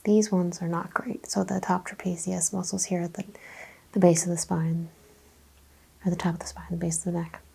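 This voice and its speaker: A woman speaks softly and gently as a chiropractor in an ASMR roleplay, often dropping to a whisper. Her voice is creaky and mellow, with a breathy edge. She asks and explains in short, unhurried phrases. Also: lowish voice, little pitch movement, poor audio. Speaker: ASMR host playing a chiropractor. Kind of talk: ASMR roleplay, soft-spoken exam.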